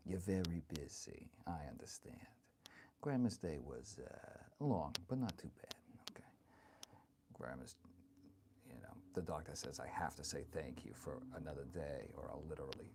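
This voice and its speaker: Man playing a very deep, creaky old grandma. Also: animated, background noise. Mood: sweet. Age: older. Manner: rambling, halting, fussing, calls listeners honey and dear. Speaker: live streamer in character. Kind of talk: improvised comic chat with live stream viewers.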